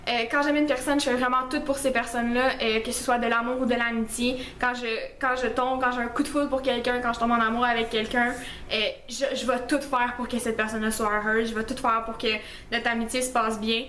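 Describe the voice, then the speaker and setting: A woman's voice: fairly high pitch, a bit bright, echoey room, slight background noise. A young woman with a Québécois French accent, chatting through a list of facts about herself in a casual, self-mocking way with lots of fillers. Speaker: beauty vlogger. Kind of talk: casual list-style vlog.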